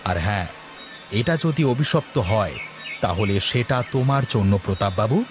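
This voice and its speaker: Man playing young male villager, indignant, bold, quick urgent delivery, pitched up, cartoon drama, music behind. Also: expressive, close to an everyday voice. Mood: defiant. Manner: urgent, emphatic questioning. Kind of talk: cartoon moral-story acting.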